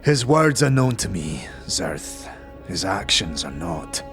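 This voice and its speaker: A man voicing a proud, solemn, terse fantasy warrior with formal, measured, archaic phrasing in an audio drama. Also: deep voice, very animated, music in the background, voice pushed down, exaggerated voice. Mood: stern, formal.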